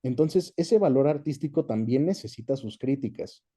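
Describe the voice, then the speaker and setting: A man with a Mexican accent giving measured, conversational explanations as an interview guest, often checking in with short tag questions. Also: medium pitch, animated, warm tone. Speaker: interview guest, voice actor and dubbing director.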